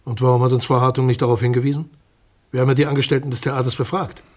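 An actor playing a formal, guarded public prosecutor in a radio crime drama, officious and sceptical, with a husky voice. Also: male voice, medium pitch, little pitch movement, racing, natural voice.

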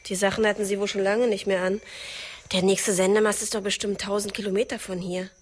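A woman's voice: medium pitch, little pitch movement, a little fast, natural voice. An actress playing a curious, stubborn and outspoken young woman in a naturalistic radio drama. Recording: loud insect and jungle noise.

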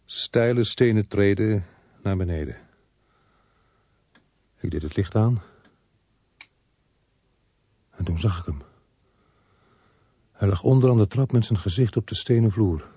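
An actor playing a brisk, matter-of-fact police inspector in a radio drama, with a husky voice. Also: male voice, fairly low pitch, mellow, no room sound. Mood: grave narration. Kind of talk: radio drama dialogue and narration.

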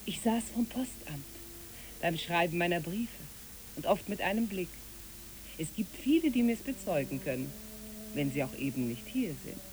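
A woman's voice: fairly low pitch, lively intonation, moderate pace, a little mellow, natural voice, poor audio. A woman playing a genteel, rapturous lady in a literary radio play, refined and effusive.

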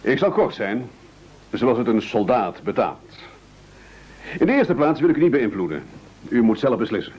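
An actor playing a wily, soldierly demagogue in a satirical radio play, speaking in a blustering, oratorical way. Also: male voice, medium pitch, big pitch swings, normal speed, pauses often, a little mellow, breathy edge. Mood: blustering, self-serving. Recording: dull old radio recording.

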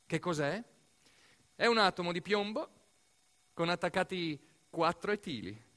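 An Italian man telling a theatrical monologue as a stage narrator, expressive and playful, with dramatic emphasis and deliberate pauses. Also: high voice.

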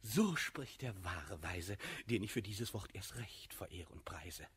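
An actor playing a vain courtier and amateur poet in a classical verse comedy for radio, effusive, flattering and affectedly modest. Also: male voice, deep voice, measured pace, breathy edge, full character voice. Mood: effusive, self-important.

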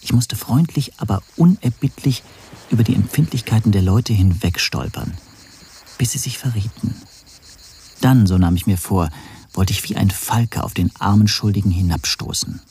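An actor playing a wry, scheming amateur sleuth who narrates his own story in a measured, literary style. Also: fairly low pitch, darkish tone, slight background noise, lightly acted. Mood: plotting, wry.

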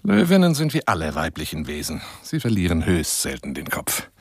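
A garrulous big-game hunter in a radio monologue, courting a woman as he rambles. His low, husky voice swoops theatrically between exclamation and coaxing whisper. The sound is dry and intimate. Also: huge pitch swings, brisk, no room sound, full character voice. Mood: boastful, conspiratorial. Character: garrulous, self-styled lion hunter wooing a woman by telephone.

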